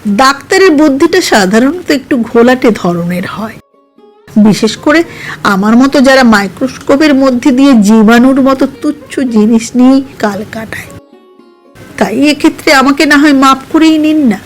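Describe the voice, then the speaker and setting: A woman voicing a modest, earnest young male doctor in an audio storybook, with a gentle, measured manner. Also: high-pitched, expressive. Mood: wry, modest. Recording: music under the voice.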